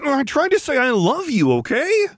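A man's voice: very high-pitched, wildly swooping pitch, moderate pace, no room sound, studio quality, voice pushed up, heavily acted. A man voicing a small, indignant but affectionate young woman, huffy and defensive, in a story reading.

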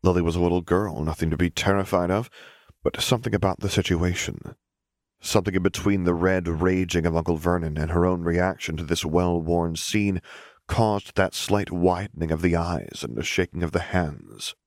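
Low-voiced man narrating a story. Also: moderate pace, no echo, studio quality. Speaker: audiobook narrator.

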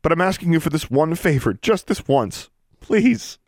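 A man's voice: fairly low pitch, fast talker, darkish tone, no room sound, studio quality, natural voice. A man voicing a desperate young girl who pleads insistently and defiantly in an audiobook story. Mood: desperate pleading.

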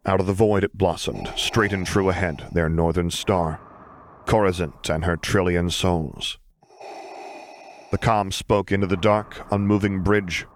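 A man's voice: sits low, steady tone, unhurried, slight background noise. A man reading a story aloud in a scripted, dramatic narration style. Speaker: audiobook reader.

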